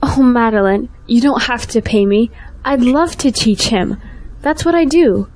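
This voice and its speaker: Devout female schoolteacher, played by a woman, fairly high voice, gentle and sincere, audio drama. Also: unhurried, close to an everyday voice, rough recording. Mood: sincere, humble. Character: devout, kind young schoolteacher eager to help.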